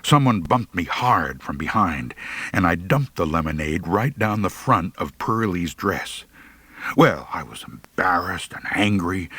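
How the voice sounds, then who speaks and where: A man reads a comic first-person story in a low, close and dry-sounding voice. His intonation swings widely. The delivery is theatrical and wry, with mock pomposity as an audiobook narrator. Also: a bit bright, husky, no room sound.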